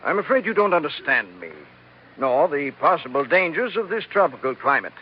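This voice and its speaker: An actor playing a coldly menacing plantation manager, clipped and formal, in 1940s radio drama style. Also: male voice, a little high, very animated, fairly quick. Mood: icy menace. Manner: clipped, formal, veiled threats. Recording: muffled, noisy old radio broadcast.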